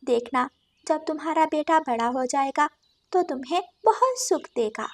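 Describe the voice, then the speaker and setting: A sympathetic female sparrow from a children's cartoon, acted by a woman. She speaks gently and earnestly in a high voice, like a caring friend. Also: animated, moderate pace.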